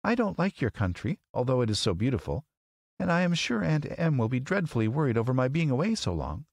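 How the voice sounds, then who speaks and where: A man's voice: mid-range voice, sing-song, fairly quick, vocal fry, darkish tone, no echo, pitched above the performer's own voice. A man voicing an earnest, helpless little girl in a storybook, plaintive and pleading. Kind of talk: storybook character voice.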